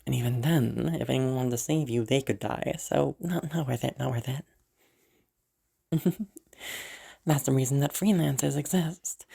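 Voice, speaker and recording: A woman's voice: deep voice, creaky, dark tone, breathy edge, heavily acted. A woman playing a powerful, weary mage in an audio roleplay, rambling casually and boasting to the person she rescued. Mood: tired, casual. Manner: casual, rambling, teasing asides. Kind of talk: improvised-sounding audio roleplay, chatting to the listener.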